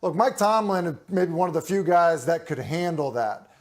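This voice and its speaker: A man in his forties, a studio analyst, arguing his sports take deliberately on a talk show. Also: a little high, expressive. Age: 40s. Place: remote studio set.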